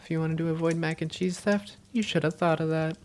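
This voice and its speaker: A woman playing an affectionate, self-doubting vampire girlfriend in a cozy roleplay audio, speaking in an intimate, teasing and sometimes halting way. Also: sits low, fairly even intonation, a little mellow, a little hiss or hum, lightly acted. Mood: playful, affectionate. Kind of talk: cozy roleplay audio, one-sided conversation.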